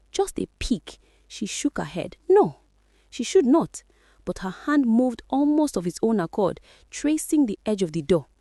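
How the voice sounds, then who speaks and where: Woman reading a spooky folktale, lots of pitch swings, dry close mic. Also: no room sound. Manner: dramatic, expressive storytelling. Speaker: storyteller. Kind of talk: scripted folktale narration.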